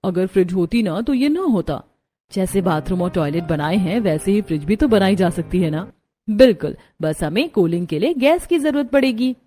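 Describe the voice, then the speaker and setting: A woman's voice: medium pitch, expressive, moderate pace, mellow, close to an everyday voice. A woman voicing a practical, resourceful young Indian daughter-in-law in a Hindi cartoon story.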